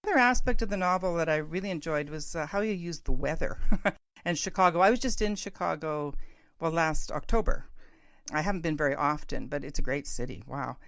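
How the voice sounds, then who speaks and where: Female podcast host, very low register, chatty and effusive interviewer, dry recording. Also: a little fast, fairly bright tone, no room sound. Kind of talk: conversational interview.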